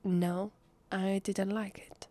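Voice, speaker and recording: A woman with an Italian accent playing an evasive, flustered female vampire posing as human in an ASMR roleplay, speaking softly and hesitantly in a husky half-whisper. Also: mid-range voice, flat delivery. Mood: exasperated. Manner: hesitant, slow, drawn-out.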